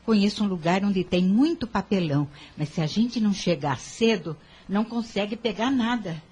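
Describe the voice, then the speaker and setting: A woman's voice: medium pitch, lively intonation, moderate pace, darkish tone, husky. A woman playing a poor, hardworking and devout mother in an audio drama. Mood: tender, resigned.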